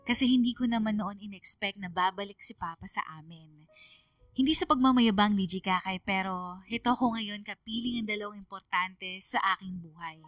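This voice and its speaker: A woman's voice: medium pitch, moderate pace, dry recording, faint music behind, close to an everyday voice. A woman playing a sentimental young Filipino daughter who narrates her letter in a heartfelt, confiding way for a radio drama. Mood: grateful, tender.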